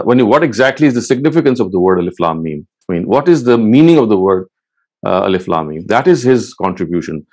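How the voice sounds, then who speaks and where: A man in his sixties giving a measured academic lecture from a studio, answering questions and explaining patiently, with frequent "uh" pauses. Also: on the low side, darkish tone. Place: studio set with bookshelves and wall lamps.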